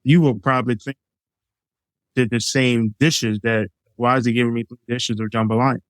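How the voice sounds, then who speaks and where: A man's voice: mid-range voice, steady tone, moderate pace, clear, resonant tone, no echo. Easygoing middle-aged man from Liberia. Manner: easygoing, explains with examples, many fillers. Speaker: chef, guest. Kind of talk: casual interview talk.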